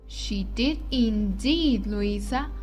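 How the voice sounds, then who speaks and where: A woman playing a haughty, scornful young society lady in a period audiobook drama, speaking with affected, drawn-out disdain. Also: fairly high pitch, darkish tone, faint music behind.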